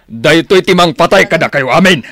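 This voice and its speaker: An actor playing a hot-tempered older retired policeman, gruff and threatening, in an Ilocano Filipino radio drama. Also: on the high side, expressive, moderate pace, bright, forceful tone. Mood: menacing. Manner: gruff, bullying.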